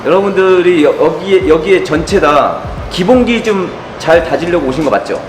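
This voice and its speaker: A man's voice: on the high side, lively intonation, normal speed. Firm male boxing coach, echoey gym. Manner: firm, coaching, calls out counts. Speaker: boxing trainer. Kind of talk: group class instruction. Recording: echoey gym with loud music.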